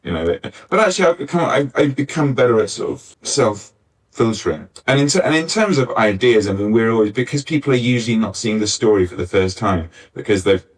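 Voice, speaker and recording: A man's voice: mid-range voice, animated, brisk, husky. A man talking casually as a panelist at a live event, rambling and jokey, often interrupting himself. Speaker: pantomime writer, panelist. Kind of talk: casual, joking panel talk. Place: arts centre stage, live panel. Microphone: distant backup recorder. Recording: distant mic, uneven backup recording.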